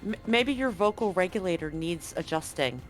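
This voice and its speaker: Tabletop sci-fi synth, played by a woman: anxious, literal-minded female android, stammering, placating, lowish female pitch. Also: lively intonation, normal speed, soft background music, close to an everyday voice.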